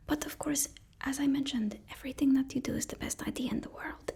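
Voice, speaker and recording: Female, higher-pitched and whispery, for ASMR role-play: a placating, apologetic personal assistant flattering a supervillain boss. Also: gravelly. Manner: hesitant, placating, deferential. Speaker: ASMR performer playing a villain's personal assistant.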